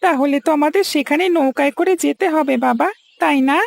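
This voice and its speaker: A woman's voice: high voice, a little fast, gravelly, slight background noise. A woman voicing a stubborn, sulky young schoolboy in a cartoon, with a whiny, insistent way of talking. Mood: eager. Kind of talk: cartoon voice acting.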